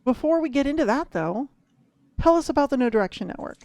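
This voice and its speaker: A woman's voice: sits high, lively intonation, fast talker, darkish tone, dry recording, studio quality. A woman hosting a livestream match, upbeat, chatty and playful. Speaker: host.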